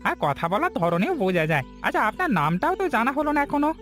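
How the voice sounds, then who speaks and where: A man's voice: very high voice, very animated, quick pace, fairly bright tone, soft background music, heavily acted. A man playing a kind but probing hill villager in a cartoon story, plain-spoken and questioning.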